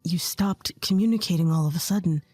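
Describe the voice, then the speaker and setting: A woman voicing a gentle, remorseful young husband with a lowered voice. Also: brisk, no room sound, soft background music, close to an everyday voice. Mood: earnest.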